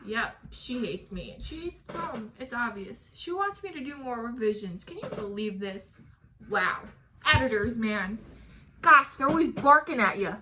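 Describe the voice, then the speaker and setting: A woman author, animated and playfully exasperated, chatting casually and reading her own poem. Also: on the high side, unhurried, background noise.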